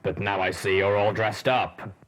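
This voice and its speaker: A man voicing a taciturn male ghoul pony ranger who speaks slowly in a gruff rumble. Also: steady tone, fairly bright tone, natural voice. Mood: dry. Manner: slow, measured, rumbling.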